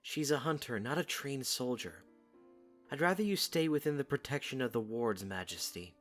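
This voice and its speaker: A man's voice: medium pitch, lively intonation, normal speed, fairly bright tone, faint music behind, lightly acted. A man playing a loyal, protective young royal guard in an audiodrama. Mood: serious, protective. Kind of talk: audiodrama acting.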